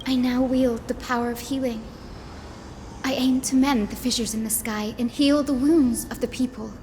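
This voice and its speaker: A woman playing a gentle, earnest young female healer in a video game, speaking in a solemn, polite and formal manner. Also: fairly high pitch, steady tone, normal speed, a little hiss or hum, close to an everyday voice. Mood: resolute. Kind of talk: scripted video game voice acting. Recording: game audio with background music. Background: music.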